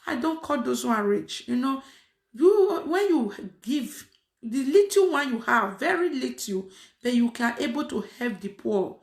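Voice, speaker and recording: A woman's voice: fairly high pitch, gravelly. Emphatic woman preaching, echoey room. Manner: emphatic, repeats phrases, frequent fillers. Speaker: online prayer host. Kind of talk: casual preaching to an online audience.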